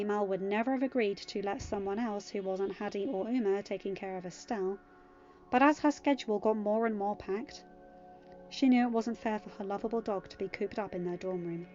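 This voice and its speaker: A woman's voice: medium pitch, dark tone, dull audio. A woman reading scripted fiction as an audiobook narrator.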